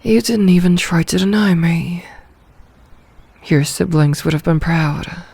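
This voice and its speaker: A woman playing a possessive, commanding female werewolf leader in an ASMR audio roleplay, with deliberate, formal phrasing. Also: fairly low pitch, vocal fry, mellow, breathy edge, dry recording, full character voice. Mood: tender, adoring.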